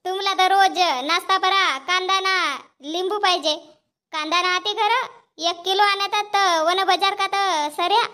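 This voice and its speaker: A woman's voice: very high voice, fairly even intonation, unhurried, bright, forceful tone, full character voice. A woman voicing a complaining village housewife in a cartoon comedy, chattering and scolding with a Powari accent. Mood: exasperated, nagging.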